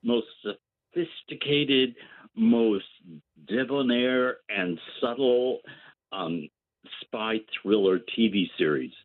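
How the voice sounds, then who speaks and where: A man's voice: medium pitch, slow pace. Older man joking on a tinny phone line. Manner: playful, joking. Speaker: caller. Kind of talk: phone-in chat.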